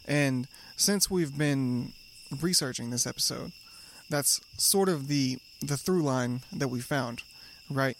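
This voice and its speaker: A man co-hosts a casual podcast, speaking in an easygoing, reflective way. He circles his points with restarts and small fillers, steering the conversation gently. A faint hiss runs under the recording. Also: mid-range voice, slow, few pauses. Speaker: podcast co-host.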